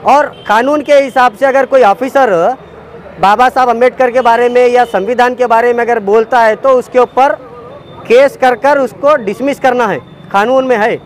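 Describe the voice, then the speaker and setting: A man's voice: very high-pitched, steady tone, moderate pace. A man with a Deccani accent gives an indignant, accusatory protest statement to the press, hammering his demands repeatedly. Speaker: activist spokesman.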